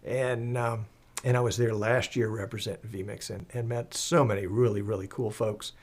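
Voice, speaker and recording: A man hosting a live show in a chatty, folksy, rambling and enthusiastic way, croaky as he recovers from the flu. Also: on the low side, steady tone, moderate pace. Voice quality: slightly husky, croaky. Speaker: live stream show host.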